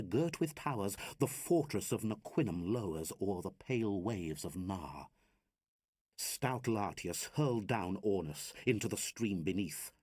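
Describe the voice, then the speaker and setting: Deep, slightly husky man reciting epic verse. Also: a little mellow, no room sound, studio quality. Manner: measured, theatrical, declamatory storytelling. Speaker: reader of narrative poetry. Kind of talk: dramatic recited narrative poem.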